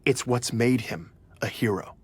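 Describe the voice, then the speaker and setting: A man's voice: medium pitch, unhurried, darkish tone, slightly rough. An actor playing an earnest, easily awed male journalist who narrates his story in a scripted audio drama. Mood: earnest, reverent.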